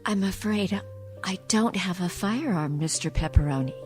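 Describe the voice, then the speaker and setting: A woman playing a clinical, self-absorbed psychiatrist in a comic radio play, deadpan and precise. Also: mid-range voice, normal speed, faint music behind, natural voice. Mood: prim, needy.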